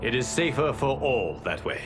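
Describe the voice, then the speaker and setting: A man playing a stern, solemn guardian god in a video game, speaking formally and deliberately with authority. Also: medium pitch, big pitch swings, music in the background, natural voice. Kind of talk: video game cutscene acting.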